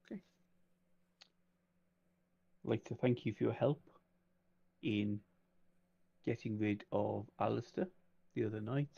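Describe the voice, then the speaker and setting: A man playing in a tabletop roleplay game, speaking in character in a polite, measured, conversational way. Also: fairly low pitch, creaky, dark tone, breathy edge, dry recording, highs cut off.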